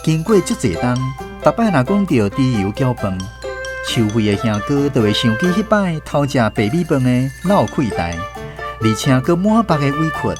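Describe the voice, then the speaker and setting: A man narrates a storybook radio drama with a Taiwanese accent. His mid-pitched voice moves with lively intonation over clearly audible music. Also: normal speed.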